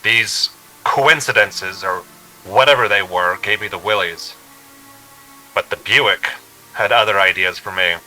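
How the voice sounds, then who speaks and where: A young traveler in a radio suspense play. His voice is sharply bright and tense, with an uneasy storyteller's delivery. Music plays faintly behind him. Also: fairly low pitch, unhurried, long pauses, poor audio. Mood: brooding, uneasy. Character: uneasy young man narrating his eerie road trip. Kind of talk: radio drama acting, first-person suspense narration.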